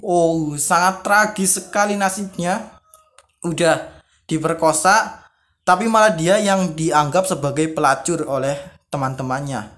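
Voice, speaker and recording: A young-sounding, high-voiced man hosts an informal vlog. He reads written accounts aloud, then rephrases them and reacts in everyday conversational language. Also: fairly even intonation, unhurried, a bit bright. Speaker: video creator, host. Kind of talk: casual vlog, reading stories aloud with commentary.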